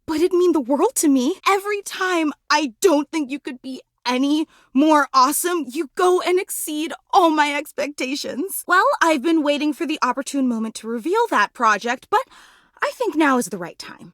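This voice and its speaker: A woman voicing an adoring, anxious superfan in a video game, effusive, dramatic and quick to put herself down. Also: high voice, lively intonation, fairly bright tone, no echo, clean studio sound. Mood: adoring, hopeful. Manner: effusive, dramatic, self-deprecating. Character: gushing, anxious, adoring superfan, an animate household object. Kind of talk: video game character voice acting.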